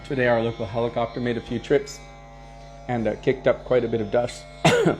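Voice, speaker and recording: A man leading a devotional program, casually answering viewer questions and sharing anecdotes in a relaxed way, chuckling now and then. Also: medium pitch, a little fast, faint music behind, low-quality recording. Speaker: kirtan leader and devotional teacher.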